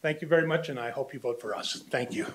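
A man's voice: medium pitch, fast talker, heavy background noise. Older man speaking at a podium. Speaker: candidate for community association board. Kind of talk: public comment speech. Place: meeting room, standing at a podium. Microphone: podium microphone.

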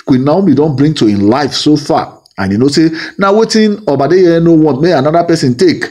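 A man's voice: on the high side, animated, a little fast, mellow. A middle-aged man speaking with a Nigerian Pidgin accent, whose first language is Igbo, delivering a rambling celebrity gossip monologue full of fillers and repeated phrases. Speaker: gossip channel host.

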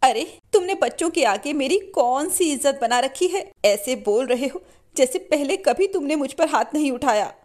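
A woman plays a poor, long-suffering mother in a cartoon tale. Her voice is fairly high and very expressive, earnest and plaintive, with an outspoken edge. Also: normal speed. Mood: indignant.

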